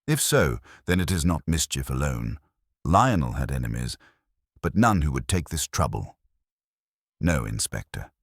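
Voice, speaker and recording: A dignified, self-possessed widow in a mystery story, acted by a man, speaking in formal, composed sentences. The voice is very deep with lively pitch movement, recorded dry. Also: measured pace, no room sound, heavily acted.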